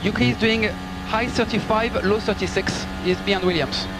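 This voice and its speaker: A man's voice: high-pitched, a little fast, gravelly. A man working as a race engineer, giving polite, clipped technical instructions and gap updates over team radio. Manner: polite, instructive, reporting numbers and gaps. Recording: noisy team radio with engine and vehicle noise. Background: loud car and engine noise.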